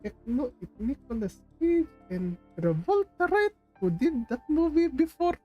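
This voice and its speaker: A woman's voice: high-pitched, big pitch swings, unhurried, muffled recording. A woman livestreamer giving casual commentary on a video game as she plays. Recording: game music behind the voice.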